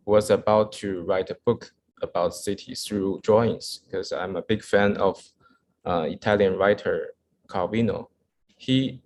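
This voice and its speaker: A man's voice: lowish voice, darkish tone, slight background noise. A man from China with a Chinese accent gives an informal slide lecture in English as a second language, hesitating often as he searches for words. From Wuhan, China. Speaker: architect giving a guest lecture.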